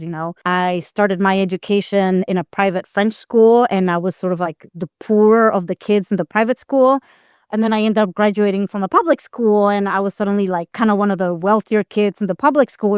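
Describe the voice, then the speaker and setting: A middle-aged woman from Costa Rica talks as an interview guest. Her voice is clean and smooth at an average pitch, recorded dry and close. She explains herself candidly and reflectively, in a conversational way. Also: darkish tone, dry recording. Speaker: guest, anthropologist and expert witness.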